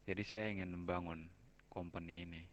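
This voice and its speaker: A man speaking formally in character in a roleplay game, slow and halting, sometimes sinking to a near whisper. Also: low-pitched, fairly even intonation, muffled recording, a little hiss or hum. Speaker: roleplay company founder. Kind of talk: in-character roleplay speech over voice chat.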